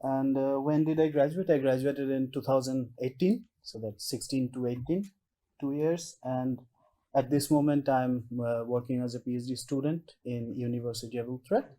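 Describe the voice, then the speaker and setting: A young man from India, giving a formal, measured self-introduction. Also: mid-range voice, fairly even intonation, dark tone. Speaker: PhD student, alumnus.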